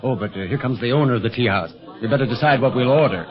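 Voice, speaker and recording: Man playing a lively, easygoing adventurer uncle. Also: mid-range voice, fairly quick, lightly acted. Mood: amused. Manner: amused, chuckling, teasing. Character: easygoing adult adventurer uncle, genial secret agent. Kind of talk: 1930s radio serial acting. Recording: dull old radio recording with music.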